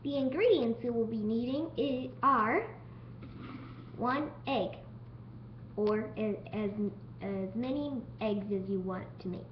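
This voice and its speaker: High-voiced woman hosting a homemade cooking show. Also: animated, takes it slowly. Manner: unscripted, stumbling over words now and then. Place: home kitchen. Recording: dull lo-fi home video with noise.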